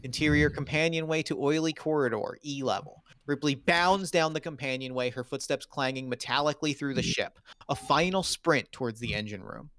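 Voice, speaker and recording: A man's voice: mid-range voice, brisk, hardly any pauses, fairly bright tone. A man narrating screenplay stage directions in dramatic, clipped phrases for a table read.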